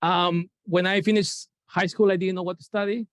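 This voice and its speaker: A man's voice: high-pitched, flat delivery, moderate pace, no echo. A man, giving an informal science lecture, hesitant with many fillers and self-corrections. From Peru. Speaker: lecturer, NASA chemist. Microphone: room mic at a lecture, Zoom shared. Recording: dull, dry lecture recording.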